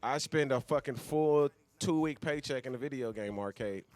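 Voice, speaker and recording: A man's voice: medium pitch, normal speed, darkish tone, dry recording. A man talking casually as a guest on a talk show, blunt and slangy, with a lot of swearing. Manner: blunt, heavily profane, slangy. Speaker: guest, avid gamer.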